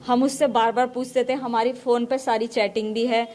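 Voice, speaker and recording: High-voiced middle-aged woman, emphatic. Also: little pitch movement, normal speed, darkish tone, a little hiss or hum. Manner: emphatic, repeats herself. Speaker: mother being interviewed. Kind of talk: emotional interview answers.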